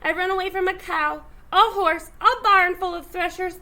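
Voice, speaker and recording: Woman acting a high, cheeky little gingerbread boy. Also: steady tone, normal speed, pushed and bright, heavily acted. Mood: proud taunting. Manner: sing-song, boastful chanting. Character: cheeky, boastful little gingerbread boy taunting his chasers.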